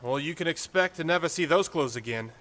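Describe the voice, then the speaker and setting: A man's voice: on the high side, animated, fairly quick, a bit bright, pitched above the performer's own voice. A man voicing an easygoing, confident young street gang leader with a teasing, slangy manner in an audiobook. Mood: breezy, confident. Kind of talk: audiobook character voice.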